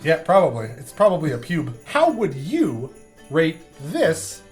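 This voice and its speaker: Animated man hosting with swooping pitch. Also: unhurried, soft background music. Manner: animated, exaggerated reactions. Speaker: beer review show host. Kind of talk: casual comic banter.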